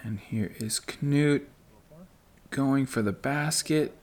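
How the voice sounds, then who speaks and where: A middle-aged man giving relaxed play-by-play commentary as a voice-over, easygoing and dry, thinking aloud as he goes. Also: mid-range voice, slow. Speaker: sports commentator. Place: voice-over, not at the course.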